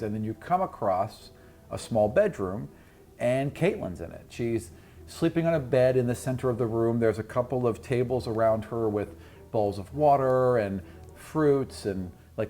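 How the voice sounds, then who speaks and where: A man's voice: mid-range voice, warm tone. A man acting as game master, narrating a tabletop fantasy game in descriptive, improvised second-person storytelling. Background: prominent music.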